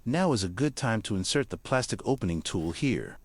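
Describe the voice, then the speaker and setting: A man's voice: fairly low pitch, animated, fairly quick, dry recording. A man giving scripted instructional narration, guiding the listener carefully through each step. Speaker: repair tutorial narrator.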